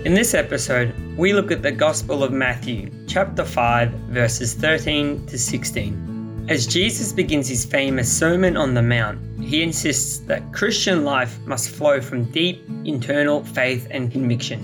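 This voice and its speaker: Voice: medium pitch, expressive, a little slow. An announcer reading a formal scripted introduction to the episode. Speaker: podcast announcer. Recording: spoken over guitar music. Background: plucked guitar music.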